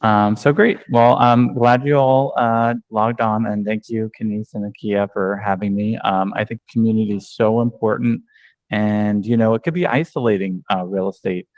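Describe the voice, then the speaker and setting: Male agent-trainer from New York, lowish voice, chatty with fillers, dry video-call audio. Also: fairly even intonation, moderate pace, darkish tone, no echo. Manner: conversational, many ums and restarts. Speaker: real estate agent and trainer. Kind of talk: online training talk.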